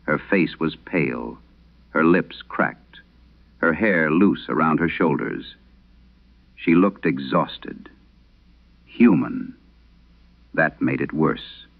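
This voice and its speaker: A man playing an aging, haunted priest, telling a solemn horror radio monologue with measured, brooding, confessional delivery. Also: extremely low pitch, expressive, creaky, narrow, tinny audio, full character voice. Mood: grave, haunted.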